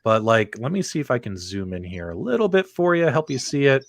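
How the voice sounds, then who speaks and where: A man hosting a casual panel show, excitable and chatty as he addresses his co-hosts and audience. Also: medium pitch, fairly quick, no room sound. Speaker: host of a video game podcast.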